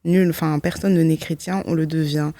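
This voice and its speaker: A woman's voice: deep voice, steady tone, normal speed, dark tone, no echo. A woman, giving a personal testimony in a reflective, hesitant way, often correcting herself. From Belgium. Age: 20s. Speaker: guest, law student giving testimony.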